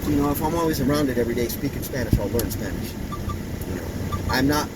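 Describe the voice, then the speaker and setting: A man's voice: mid-range voice, fast talker. A man of fifty giving an opinionated, meandering monologue, full of "you know" fillers, while sitting in his truck. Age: 50s. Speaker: vlogger. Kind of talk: rambling casual monologue. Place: inside a truck. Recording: distant mic, loud engine and road noise. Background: vehicle engine and air conditioning hum.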